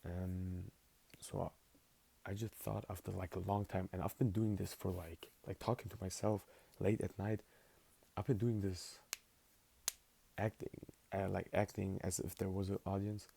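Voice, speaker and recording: A man's voice: low-pitched, little pitch movement, normal speed, creaky, long pauses, mellow, a little hiss or hum. An eighteen-year-old man for whom English is not his first language, rambling casually through a spoken journal with lots of fillers. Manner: rambling, filler-heavy, laid-back. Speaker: vlogger.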